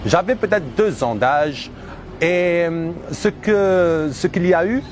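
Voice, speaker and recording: Higher-pitched man testifying over background noise. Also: slow pace. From Algeria. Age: 30s. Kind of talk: personal religious testimony.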